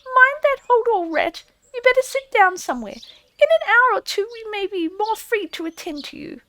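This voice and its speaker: A woman voicing a busy, fussy little field mouse for an audiobook, with a primly apologetic, hurried manner. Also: extremely high pitch, lively intonation, pushed and bright, pitched above the performer's own voice, exaggerated voice. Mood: stiff, huffy. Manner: hurried, stiff, shamefaced. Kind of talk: audiobook character voice.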